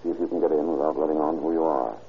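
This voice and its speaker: An actor playing a brisk, steady police chief in an old radio crime drama, giving clipped orders. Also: male voice, rumbling low. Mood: resolute. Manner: clipped, commanding. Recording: dull, noisy old radio recording.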